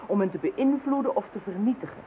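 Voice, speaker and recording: A principled, emphatic woman inspector, played by an actress in a radio drama. Her voice is high and spirited, on a thin recording with faint noise. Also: fast, phone-quality sound, close to an everyday voice. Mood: grave, insistent.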